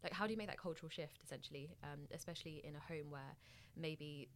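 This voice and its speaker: Host, woman from Bangladesh; very low pitch, hesitant casual phrasing. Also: fast. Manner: hesitant, frequent "like" and "um". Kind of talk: casual conversation.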